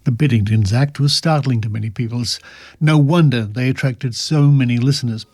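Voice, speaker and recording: A man hosting a podcast, narrating and reading old articles aloud in a measured, deliberate way with dry asides. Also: medium pitch, over music. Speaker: podcast host and presenter.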